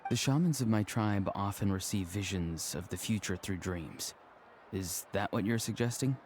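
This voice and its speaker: An actor playing a soft-spoken, thoughtful young male shaman in an anime game. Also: fairly low pitch, moderate pace, slight background noise, natural voice. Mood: thoughtful, explaining.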